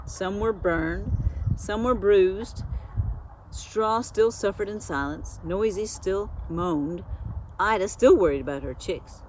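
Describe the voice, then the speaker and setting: A woman reading a children's storybook aloud, expressively and with dramatic pauses. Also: mid-range voice, a little mellow, slight background noise, low-quality recording. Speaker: school media specialist reading aloud.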